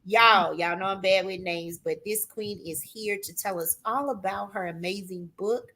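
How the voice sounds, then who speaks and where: A woman hosting a live talk show, loudly hyping her audience and calling guests "queen". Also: mid-range voice, normal speed. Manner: hypes the audience with "y'all" and "queen".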